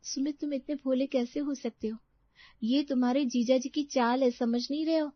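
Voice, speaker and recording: Woman voicing a firm, high-voiced young woman. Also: fairly even intonation, moderate pace, no echo, lo-fi sound. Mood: suspicious, urgent. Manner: emphatic, admonishing. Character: wary, firm young woman student scolding her naive friend.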